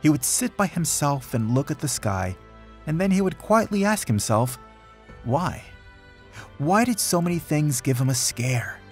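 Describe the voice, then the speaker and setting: A man reading a rhyming children's picture book aloud in an expressive, dramatic storytelling manner. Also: a little high, unhurried, soft background music. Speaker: storybook reader.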